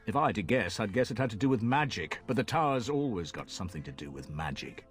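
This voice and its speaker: A man's voice: fairly low pitch, big pitch swings, fast talker. An actor playing a plain-spoken, folksy commoner ferryman in a fantasy video game, chatting in rustic, colloquial speech. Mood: wary.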